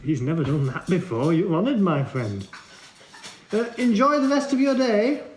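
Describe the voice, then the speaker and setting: A woman's voice: mid-range voice, big pitch swings, moderate pace, darkish tone, close to an everyday voice. A woman playing a playful, brash bakery regular with a bantering, exclamatory manner in an audio drama. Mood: friendly. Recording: echoey room, faint noise.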